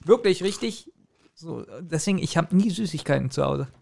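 A man's voice: fairly high pitch, lively intonation, normal speed, creaky, darkish tone. A man talking casually as a podcast co-host, with a dry, self-deprecating manner.

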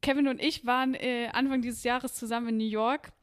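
Podcast co-host, young woman, a touch high, self-deprecating and easygoing. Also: steady tone, brisk, clean tone. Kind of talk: casual chat.

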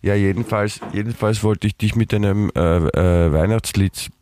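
A man with an Austrian German accent co-hosting a podcast in playful, rambling banter, his voice hoarse and full of halting restarts. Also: fairly low pitch, a little mellow, a little hiss or hum. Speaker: podcast co-host. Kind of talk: casual banter.

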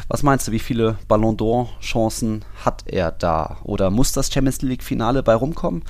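A man's voice: medium pitch, animated, normal speed, a little mellow, dry recording. A man co-hosting a football podcast, talking casually and giving his opinions in an informal, conversational way.

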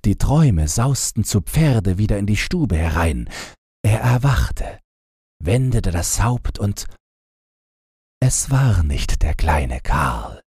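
A male audiobook narrator reads a bedtime fairy tale at a slow pace. His voice is dark and very husky, with expressive swings in pitch. It is scripted storytelling meant for falling asleep. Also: dry recording, studio quality.

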